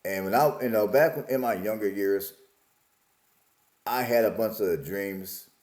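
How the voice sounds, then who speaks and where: Reflective man in his forties, lowish voice. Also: a little slow, darkish tone, roomy sound. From Alabama, US. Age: 40s. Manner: musing, repetitive, plain-spoken. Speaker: vlogger. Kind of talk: casual reflective monologue.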